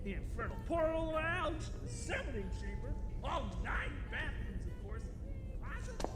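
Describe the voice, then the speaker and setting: A man's voice: very high voice, big pitch swings, a little slow, full character voice. A man playing an eager, dim, good-natured sidekick with a goofy, earnest manner in a comic horror drama. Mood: eager, cheerful. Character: cheerful, naive, eager dimwit male studio sidekick. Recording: reverberant hall with music behind.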